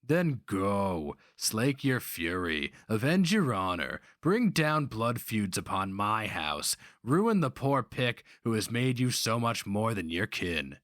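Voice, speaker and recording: A man's voice: lowish voice, very animated, slow, few pauses, a bit bright, no room sound, studio quality, exaggerated voice. A man voicing a canny, mocking older slaver and mentor in a dramatic fantasy reading, needling and emphatic.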